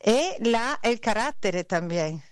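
A woman's voice: mid-range voice, lively intonation, slow, clear, resonant tone, no room sound, clean studio sound. An Italian woman, a guest artist, speaking Spanish as a second language in a casual interview, hesitant and searching for words. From Italy.

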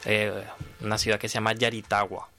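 A man's voice: lowish voice, little pitch movement, brisk, sharp tone. A man in his thirties with a Venezuelan Spanish accent, answering conversationally as an interview guest. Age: 30s. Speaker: interview guest, horn player.

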